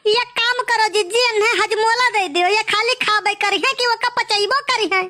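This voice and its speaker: A woman's voice: very high voice, moderate pace, pushed and bright. A woman playing a scornful young village housewife in a cartoon comedy, scolding sarcastically with an Awadhi accent.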